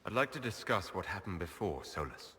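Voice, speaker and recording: A man playing an earnest, calm elven hero, speaking directly in fantasy game dialogue. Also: sits low, fairly quick, long reverb tail, heavily acted. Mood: earnest, concerned.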